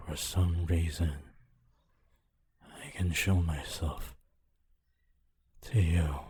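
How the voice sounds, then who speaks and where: A man playing a gentle, lonely, centuries-old ghost of a decaying mansion in a solemn, halting ASMR roleplay. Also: steady tone, exaggerated voice. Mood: solemn, melancholy. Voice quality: deep, soft, husky and breathy. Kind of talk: ASMR audio roleplay, slow scripted monologue.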